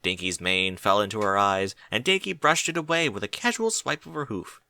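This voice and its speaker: Animated, bright-voiced male story narrator. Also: lowish voice, fairly quick, gravelly. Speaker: audiobook narrator. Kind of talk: expressive scripted story narration.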